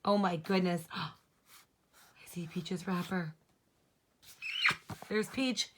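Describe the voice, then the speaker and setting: A woman's voice: on the low side, fairly even intonation, slow pace, slight background noise. A woman teacher reading a children's storybook aloud, expressively, and explaining things in simple terms.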